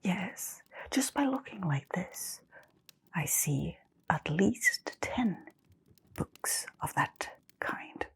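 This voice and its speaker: Casting: woman as gentle, welcoming bookshop keeper for ASMR storytelling; whispered, creaky, murmuring delivery. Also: lowish voice, very animated, heavily acted. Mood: musing. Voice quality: soft breathy whisper with creak. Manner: unhurried, murmuring, pausing with little hums.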